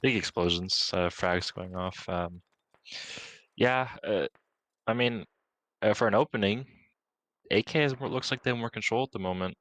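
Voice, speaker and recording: A man's voice: fairly low pitch, normal speed, a bit bright, a little hiss or hum. A man co-commentating a competitive shooter match in a casual, joking, self-deprecating way. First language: English. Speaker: esports co-caster. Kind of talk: game commentary.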